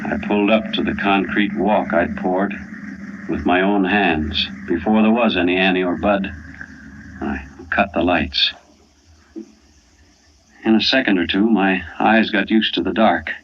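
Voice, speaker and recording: A man's voice: sits low, fairly even intonation, a little slow, pauses often, a little hiss or hum. An actor playing a weary, dogged family man in an old-time radio drama, with brooding, hard-boiled delivery. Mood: tense, grim. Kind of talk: old-time radio first-person narration and acting. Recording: dull old radio recording. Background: dramatic music.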